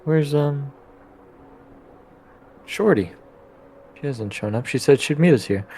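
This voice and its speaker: A man playing a tabletop role-playing game, improvising in-character dialogue in a halting, hesitant way with trailing phrases. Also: mid-range voice, animated, warm tone, a little hiss or hum, low-quality recording.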